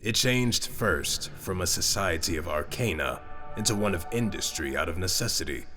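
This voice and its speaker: Deep-voiced, fervent fringe researcher. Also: male voice, fairly bright tone. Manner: grave, rhetorical, deliberate. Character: fervent male fringe researcher lecturing on human augmentation. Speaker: lecturer. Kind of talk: formal lecture, oratory. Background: music.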